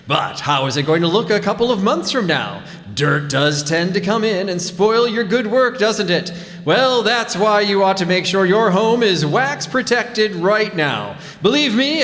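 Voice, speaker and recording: A man playing a chipper, polished 1940s radio announcer and product pitchman. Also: fairly bright tone. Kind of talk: 1940s radio advertising and narration. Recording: echoey hall, live stage performance.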